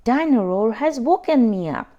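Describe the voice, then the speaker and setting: A woman voicing a curious, outspoken little girl pig from a children's storybook, expressive and exclaiming. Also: on the high side, normal speed, highs cut off, close to an everyday voice. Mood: complaining.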